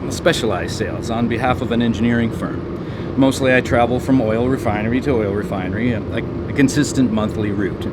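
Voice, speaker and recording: A man's voice: mid-range voice, fairly even intonation, fairly quick, natural voice. Genial married salesman played by a man, conversational and hesitant, mid-range voice, heavy vehicle noise. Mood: friendly. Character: chatty, genial married family-man travelling salesman, driving. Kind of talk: radio drama acting. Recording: live radio play, loud car drone.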